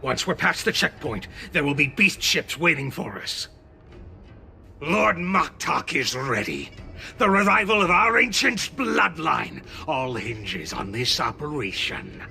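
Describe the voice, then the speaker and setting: An actor playing a scheming beast-man villain leader in a video game, hushed when plotting and barking orders in rage. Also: a little high, very animated, unhurried, gravelly, faint music behind, heavily acted. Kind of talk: game voice acting.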